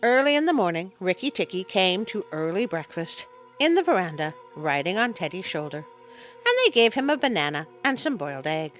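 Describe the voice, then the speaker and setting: A woman reading a story aloud in an expressive, dramatic storytelling style. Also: lowish voice, a little fast, soft background music. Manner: dramatic read-aloud, with interjected asides. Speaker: storyteller, show host. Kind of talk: expressive scripted story narration.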